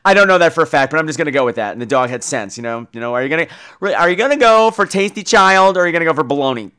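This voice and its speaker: High-voiced, animated wisecracking man. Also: rapid-fire, smooth. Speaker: podcast host. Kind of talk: casual film chat responding to a listener call.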